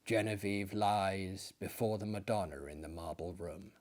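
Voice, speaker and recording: A man voicing a gentle, anxious artist in a gothic story, speaking to his friend in an earnest, grave, confiding way. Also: sits low, a little slow, voice pushed down. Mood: gentle, sorrowful.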